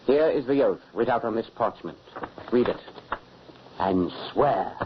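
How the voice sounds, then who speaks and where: An actor playing a stern, secretive huntsman and father, gruff and commanding, in old radio drama acting. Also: mid-range voice, expressive, a little slow, natural voice. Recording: dull old radio recording with hiss.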